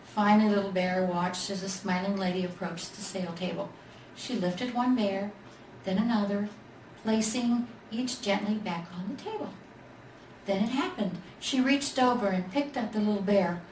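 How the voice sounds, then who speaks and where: A woman storyteller reads a picture book aloud to children in a medium-pitched voice. The recording is echoey and a little distant, with faint noise underneath. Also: moderate pace, a little mellow. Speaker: storyteller in character as Mrs. Claus. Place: library event room.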